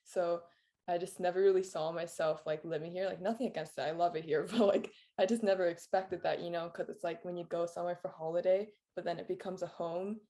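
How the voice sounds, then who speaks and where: A woman's voice: lowish voice, fairly even intonation, brisk, mellow. A teenage girl chatting casually as an interview guest, laughing often and filling her talk with "like". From Philippines. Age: teens. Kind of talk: casual chat.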